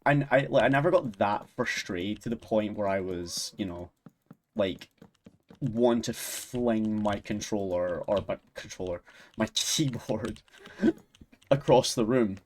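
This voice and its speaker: A man's voice: lowish voice, measured pace, slight background noise. A man with a Scottish accent, chatting casually on a stream, rambling and laughing often. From Scotland. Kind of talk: casual chat while gaming and reading chat.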